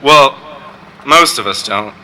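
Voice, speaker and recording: A teenage boy, valedictorian, giving a graduation speech with joking, deadpan asides. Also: mid-range voice, slow pace, a little hiss or hum, poor audio. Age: teens. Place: outdoor graduation ceremony.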